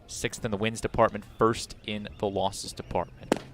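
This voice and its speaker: A man's voice: fairly low pitch, little pitch movement, normal speed, a bit bright, a little hiss or hum. A man giving baseball analysis as a color commentator on a game broadcast.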